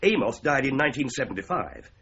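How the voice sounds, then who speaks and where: An actor playing a wry, breezy solicitor in a 1980s radio drama, with an English accent and an offhand, drily joking manner. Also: male voice, mid-range voice, sing-song, fast talker, fairly bright tone, husky, full character voice. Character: wry, flippant male solicitor, breezy and clubbable. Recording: dull, noisy 1980s radio recording.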